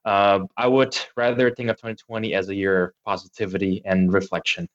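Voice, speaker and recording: A man's voice: lowish voice, flat delivery, brisk, lo-fi sound. A man from Indonesia speaking as a radio panel guest, hesitant with many fillers. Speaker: guest, engineer. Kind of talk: casual panel discussion.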